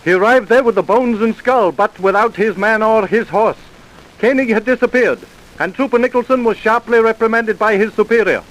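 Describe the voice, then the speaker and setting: An earnest narrator for a vintage radio serial. His voice is notably high, delivering scripted storytelling between dramatic scenes. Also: moderate pace, a bit bright, low-quality recording.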